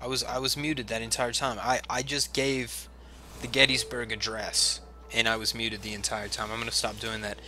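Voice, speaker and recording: A man's voice: mid-range voice, little pitch movement, normal speed, pushed and bright. Sick, chatty man streaming a game. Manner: rambling, self-mocking, chatty with viewers. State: sick with a chesty cough. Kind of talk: casual gameplay livestream chat. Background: game music.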